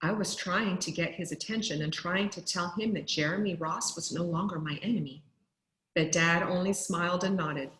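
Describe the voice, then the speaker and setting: A woman reading a children's story aloud expressively, like a teacher, with deliberate pauses for effect. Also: lowish voice, a bit bright. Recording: roomy, echoing sound.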